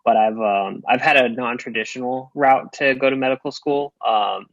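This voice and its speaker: A man's voice: mid-range voice, normal speed, a bit bright, dry recording. Man, hesitant, lots of ums, dry lo-fi call audio. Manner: hesitant, many um fillers. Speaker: panelist, lab assistant. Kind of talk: casual panel talk. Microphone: video call.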